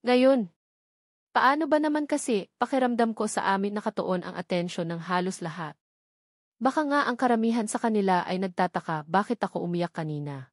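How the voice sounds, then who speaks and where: Female narrator, Filipino accent, scripted romance storytelling, low-set voice for a woman kept mid-range, dry close sound. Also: animated, brisk, no room sound. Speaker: audiobook narrator. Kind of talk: scripted first-person romance novel narration.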